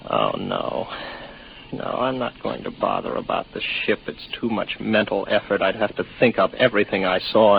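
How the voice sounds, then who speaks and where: A man's voice: on the low side, creaky. An actor playing a weary, lonely young spaceman, wry and rambling, in a 1950s radio drama. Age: 20s. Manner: wry, musing, sardonic. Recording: noisy muffled 1950s radio recording.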